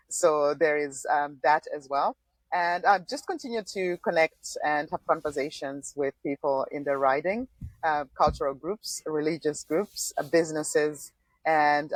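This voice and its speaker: A woman's voice: low voice, no room sound, poor audio. A woman in her forties from Zimbabwe, a former political candidate, telling her story as a podcast guest with frequent small laughs. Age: 40s. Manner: thoughtful, laughs often, frequent restarts. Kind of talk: conversational interview.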